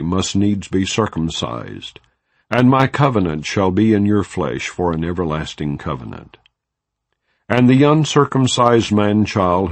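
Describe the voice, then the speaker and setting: A man with a very deep voice reads scripture aloud in a measured, solemn way. His pitch rises and falls expressively across each verse, and the sound is close and dry with no room around it. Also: no echo. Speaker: Bible narrator.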